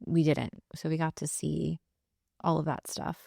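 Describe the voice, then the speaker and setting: A woman's voice: low-pitched, little pitch movement, a little slow, creaky, mellow, breathy edge, no echo, studio quality. A woman, chatting casually as a podcast co-host. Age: 30s. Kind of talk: casual chat.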